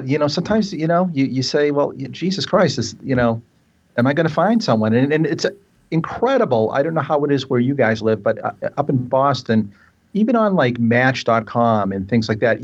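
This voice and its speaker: A man in his fifties, a guest on a casual chat show, hesitant and self-deprecating, often repeating his words. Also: mid-range voice. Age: 50s. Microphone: remote call line. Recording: dull lo-fi online call audio.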